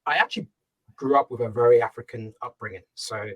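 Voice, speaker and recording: Man, Ga, founder guest on a video call; husky, earnest, repetitive, emphatic. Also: mid-range voice, fast, poor audio. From United Kingdom. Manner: earnest, emphatic, repeats words, asks himself questions. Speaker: startup founder and CEO, guest. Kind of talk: fireside chat on a video call.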